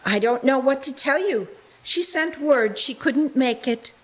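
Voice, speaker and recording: A woman's voice: sits high, animated, measured pace. A woman playing a busy, loving, outspoken Victorian mother in a live radio play. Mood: coy.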